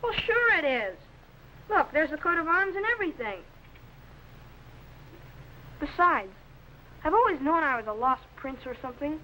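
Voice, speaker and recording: 50s tv snooty teen boy space sidekick, high voice, earnest, bit of hiss. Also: animated, normal speed, long pauses, bright, forceful tone, full character voice, poor audio. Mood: airy, self-important. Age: young teenager, boy. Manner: pompous, earnest, carefully enunciated. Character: self-important teenage boy assistant to space explorer. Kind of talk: 1950s children's TV sci-fi acting. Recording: vintage film soundtrack, faint noise.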